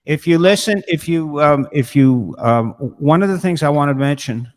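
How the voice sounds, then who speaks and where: A man's voice: on the high side, normal speed. A man, a musician guest, chatting casually over a video call. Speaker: guest, musician with a weekly show. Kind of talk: casual chat. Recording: reverberant room sound.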